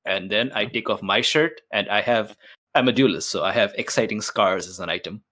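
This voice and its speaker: A man's voice: on the low side, fairly quick, pushed and bright. A man playing a tabletop game, slipping casually between chat and in-character lines in a playful, informal way.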